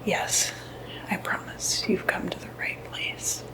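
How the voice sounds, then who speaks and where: A woman speaking in a breathy whisper, softly reassuring and hesitant, in an ASMR medical roleplay as clinic staff. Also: slow pace, poor audio. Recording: noticeable background noise, ambient sound.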